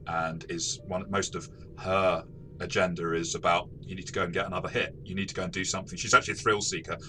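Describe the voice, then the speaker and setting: Bright, lower-pitched man reviewing games. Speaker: tabletop game reviewer and game master. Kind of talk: casual review discussion. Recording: faint background noise.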